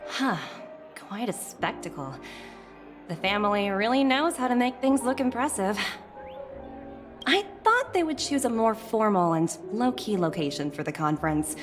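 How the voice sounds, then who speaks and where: A poised corporate director, played by a woman, with a mid-pitched voice. She is composed and coolly observant, in video game style. Clear music sits behind her. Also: sing-song, normal speed, lightly acted. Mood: mildly impressed. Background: background music.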